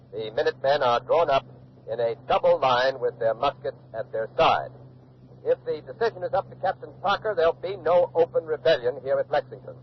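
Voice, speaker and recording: A man's voice: medium pitch, close to an everyday voice. A man acting an earnest on-the-scene reporter in a 1940s radio docudrama, describing events in an articulate eyewitness style. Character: earnest male radio field reporter at a colonial standoff. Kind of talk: 1940s radio docudrama live news commentary. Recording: dull old radio recording with hiss.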